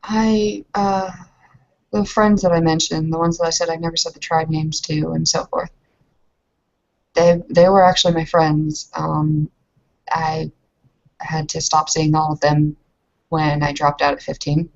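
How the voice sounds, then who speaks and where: A scrappy young woman, as acted by a woman at a game table speaks in a low voice. She is guarded and stubborn, halting as she explains herself. The recording is dull and lo-fi. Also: flat delivery, vocal fry. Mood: halting, resigned. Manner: halting, earnest, defensive. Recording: muffled lo-fi voice recording.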